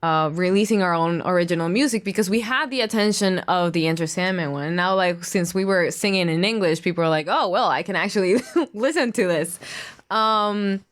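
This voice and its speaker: A young woman from Mexico with a Mexican accent and Spanish as her first language, speaking frankly and laughing easily in an interview. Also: on the low side. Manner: frank, laughing, quick agreements. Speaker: musician in a sibling band, interview guest. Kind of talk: casual interview chat.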